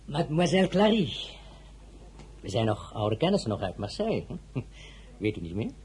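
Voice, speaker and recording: An actor playing a courtly general wooing in a radio drama, ingratiating and halting. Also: male voice, mid-range voice, very animated, a little mellow, slightly rough. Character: smooth, hesitant French general courting as suitor.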